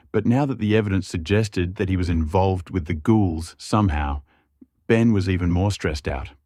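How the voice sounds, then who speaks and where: A man's voice: lowish voice, mellow, no room sound, clean studio sound. A man reading scripted fantasy fiction as a storyteller. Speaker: storyteller, narrator.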